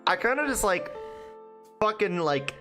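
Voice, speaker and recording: A woman's voice: medium pitch, very animated, measured pace, pushed and bright. Candid, sweary woman streamer over music. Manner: candid, informal, swears freely. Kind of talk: casual playthrough commentary, reading visual novel dialogue aloud.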